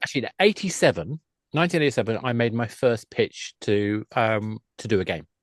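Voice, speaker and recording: A man's voice: lowish voice, very animated, brisk, no room sound, clean studio sound. A man in his fifties chatting casually and in a rambling, jokey way as a guest on a games panel. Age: 50s. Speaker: guest, games publisher and game master.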